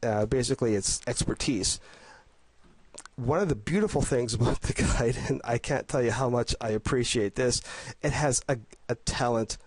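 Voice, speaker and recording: A man with a cold, sounding congested and a little nasal, giving casual voice-over commentary on a game guide, enthusiastic but halting with frequent restarts. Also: mid-range voice, no echo. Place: at home.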